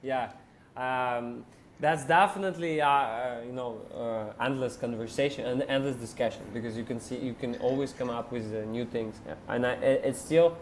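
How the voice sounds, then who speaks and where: Non guy on a podcast, lots of uh and restarts, slight rumble behind him. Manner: halting, many ums and restarts, non-native phrasing. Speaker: podcast co-host. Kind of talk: casual chat.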